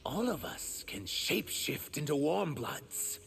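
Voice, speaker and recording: Gravelly, proud reptilian shapeshifter warrior. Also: male voice, a little high, sing-song, measured pace, a bit bright, heavily acted. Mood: guarded. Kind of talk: video game fight-intro dialogue.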